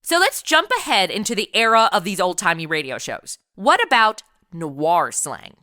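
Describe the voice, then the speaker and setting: A woman's voice: mid-range voice, very animated, moderate pace, bright, forceful tone, no echo, studio quality. A woman hosting a podcast, asking curious questions to prompt her co-host. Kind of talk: scripted interview questions.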